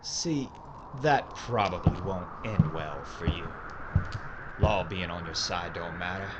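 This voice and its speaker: An actor playing a wry Old West gunfighter in an audio drama, with a sardonic, deliberate manner. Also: male voice, low-pitched. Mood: dry, threatening. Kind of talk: Western audio drama acting. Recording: heavy background noise.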